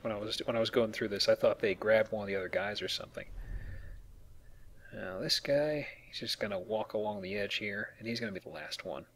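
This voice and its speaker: A man's voice: on the low side, brisk, a bit bright, a little hiss or hum. A man hosting a let's play with casual, playful commentary and jokey asides. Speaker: let's play host and player.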